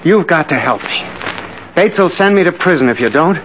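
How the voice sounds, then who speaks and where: Actor as panicky, high-voiced man, muffled old radio. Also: expressive, moderate pace, slight background noise, natural voice. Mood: panicked, pleading. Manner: agitated, pleading, hesitant. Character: weak, panicky, guilt-ridden male embezzler and junior partner. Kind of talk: 1940s radio thriller acting.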